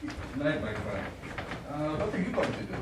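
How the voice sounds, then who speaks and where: A man's voice: a little high, very animated, normal speed. A man in a stage drama playing an earnest astronaut talking with a crewmate. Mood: friendly, curious. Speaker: stage actor in a one-act play. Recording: echoey theatre from far off, clear noise.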